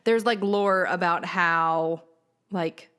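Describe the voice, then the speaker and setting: A woman's voice: lowish voice, takes it slowly, fairly bright tone, clear, resonant tone. Podcast co-host: young American woman, enthusiastic, informal, slang-filled conversational delivery. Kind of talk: casual chat about movies and pop culture.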